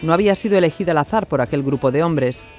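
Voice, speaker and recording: A man's voice: on the high side, fast, darkish tone, faint music behind. A man narrating a scripted true-crime documentary.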